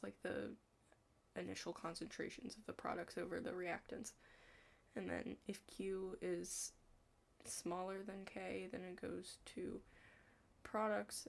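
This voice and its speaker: A young adult woman doing an ASMR roleplay as a study partner, chatting casually in a hushed, halting, rambling way with lots of "like" and some whispering. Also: medium pitch, vocal fry. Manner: rambling, halting, heavy use of "like".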